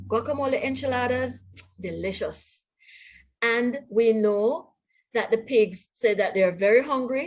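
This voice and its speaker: A woman with a Jamaican accent reading a storybook to young pupils as their teacher, in an expressive, deliberate way. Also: mid-range voice. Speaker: primary school teacher. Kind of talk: read-aloud storybook lesson for young children.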